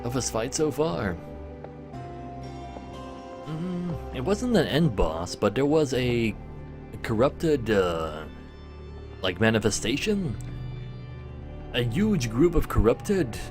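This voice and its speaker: Male game streamer, lively and expressive, chatty with viewers and narrating story text, music underneath. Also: mid-range voice, slow, long pauses. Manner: chatty, talking to live viewers. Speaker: video game livestreamer. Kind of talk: casual livestream commentary mixed with dramatic read-aloud story narration.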